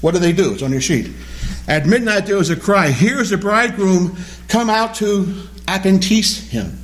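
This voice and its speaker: A man teaching a Bible study class, animated and questioning, repeating key words as he explains them. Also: high-pitched, normal speed. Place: classroom or church hall with a small group.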